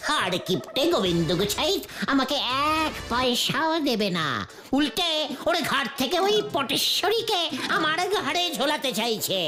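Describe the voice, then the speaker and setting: A woman voicing an excitable, put-upon young bachelor uncle in a cartoon, theatrical and full of exclamations. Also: high voice. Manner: theatrical, exclaiming, stammering when flustered. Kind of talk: exaggerated cartoon voice acting.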